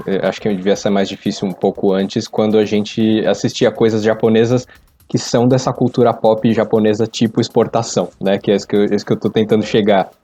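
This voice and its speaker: A middle-aged Brazilian man thinking aloud in a casual, halting way on a podcast. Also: fairly low pitch, dark tone. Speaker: podcast panelist. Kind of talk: casual reflective podcast discussion. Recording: slightly dull lo-fi audio.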